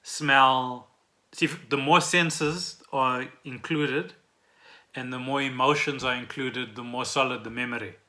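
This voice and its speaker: A man with a South African accent and a very bright, forward voice. He talks casually and off the cuff, as a podcast co-host thinking aloud. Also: medium pitch, steady tone, measured pace. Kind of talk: casual unscripted podcast chat.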